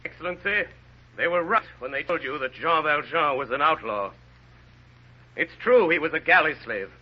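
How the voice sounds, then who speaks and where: An actor playing a grave, tormented ex-convict turned mayor in a solemn, dramatic 1930s radio play style. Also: male voice, a little high, lively intonation, normal speed, slightly rough. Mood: impassioned confession. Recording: dull 1930s radio recording, faint music.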